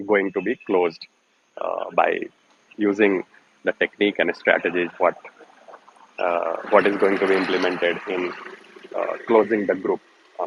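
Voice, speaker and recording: A man's voice: deep voice, gravelly, dull audio, background noise. A man joining an online discussion, talking hesitantly with many fillers. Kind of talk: casual group chat.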